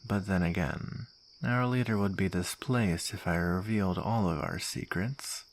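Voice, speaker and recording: A man's voice: low-pitched, a little slow. A man playing a smug soldier villain in an ASMR roleplay, taunting and drawling with mock sweetness and menace. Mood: coolly menacing.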